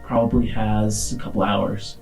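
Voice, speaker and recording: A man running a tabletop roleplaying game, telling the story to the players in a halting, deliberate way. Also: fairly low pitch, level tone, darkish tone, soft background music. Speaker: game master.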